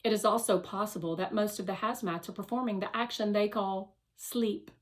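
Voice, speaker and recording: A woman narrating a children's novel aloud with careful, expressive storytelling. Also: mid-range voice, moderate pace.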